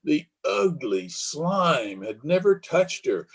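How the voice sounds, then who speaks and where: Man acting haunted, fearful husband, husky and slow. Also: a little high, sing-song, exaggerated voice. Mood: haunted, awed. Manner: dramatic, halting, fearful. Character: haunted, guilt-ridden, superstitious, fearful husband. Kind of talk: 1930s radio horror acting. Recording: husky, muffled voice recorded over video call.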